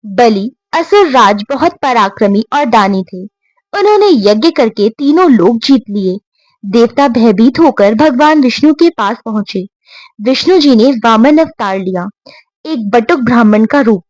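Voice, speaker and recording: Slow, high-voiced woman telling devotional tales. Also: expressive, rough recording. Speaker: storyteller. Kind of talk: scripted devotional storytelling narration.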